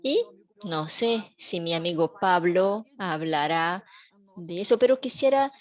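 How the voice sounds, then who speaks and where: A woman interpreting a panel talk in a measured, even way over a video call. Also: lowish voice. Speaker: simultaneous interpreter.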